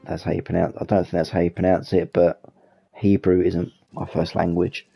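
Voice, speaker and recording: A man's voice: low-pitched, completely flat delivery, moderate pace, gravelly, husky, muffled recording, soft background music. A British man hosting casual flight game commentary in a rambling, self-correcting way. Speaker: gaming channel host.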